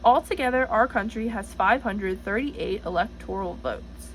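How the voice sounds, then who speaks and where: A woman reading the part of a male schoolteacher in a storybook, explaining in an instructive, measured manner. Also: medium pitch, a little hiss or hum, lightly acted, rough recording.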